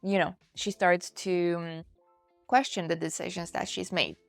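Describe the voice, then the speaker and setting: A woman's voice: on the low side, flat delivery, unhurried, vocal fry, no echo, faint music behind. A woman hosting a book review in a chatty, conversational way, speaking directly to her viewers. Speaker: book reviewer and channel host.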